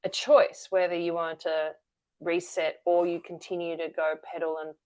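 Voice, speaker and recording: A woman's voice: fairly low pitch, level tone, normal speed, darkish tone. A woman, a guest telling her story candidly in an interview. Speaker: guest, energy-work founder. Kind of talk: conversational interview.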